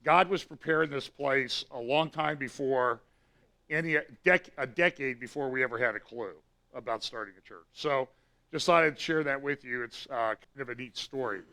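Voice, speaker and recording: A hoarse man with a raspy voice, a pastor giving a relaxed, conversational talk to his congregation with frequent fillers and restarts. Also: medium pitch, normal speed. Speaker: pastor giving weekly prophecy update. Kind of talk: church talk.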